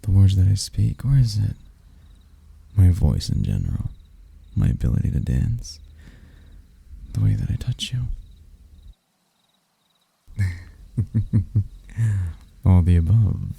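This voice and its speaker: A man voicing a seductive, smug demon in human form, smooth-talking, teasing and husky, in an intimate ASMR roleplay. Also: deep voice, dark tone, dry recording, exaggerated voice. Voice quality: gravelly, husky.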